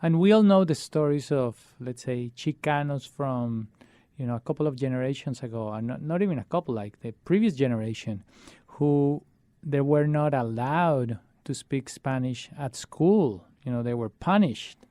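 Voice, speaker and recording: A man's voice: medium pitch, dark tone, dry recording. A man, a children's musician being interviewed, talking earnestly and often restarting his sentences. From Colombia. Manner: earnest, halting with many restarts. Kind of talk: casual interview talk.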